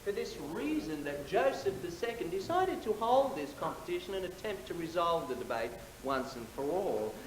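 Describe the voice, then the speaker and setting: A man's voice: high-pitched, big pitch swings, normal speed, few pauses. A man narrating a theatrical prologue on stage in a formal, lecturing way, with hesitant fillers between sentences. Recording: roomy live stage recording.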